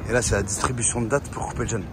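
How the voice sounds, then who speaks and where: A young man, narrating a travel vlog excitedly into a phone held at arm's length. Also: medium pitch. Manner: excited, fast, chatty. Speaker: vlogger. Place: outdoors and in a large crowded mosque. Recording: loud crowd and street noise.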